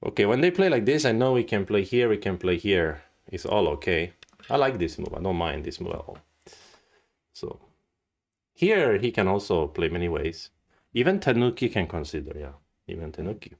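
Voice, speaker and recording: Male go streamer, lowish voice, stuffy nose, muses aloud and quizzes himself while solving problems. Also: big pitch swings, normal speed, gravelly, lo-fi sound. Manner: thinks aloud, musing and casual, asks himself questions. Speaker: go teacher and streamer.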